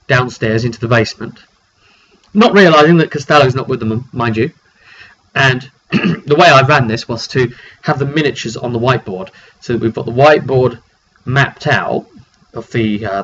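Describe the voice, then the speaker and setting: A man with a cold who often clears his throat, casually recapping a tabletop roleplay session as game master in halting, phrase-by-phrase storytelling. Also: mid-range voice, a bit bright. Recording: dull lo-fi makeshift mic, clearly noisy.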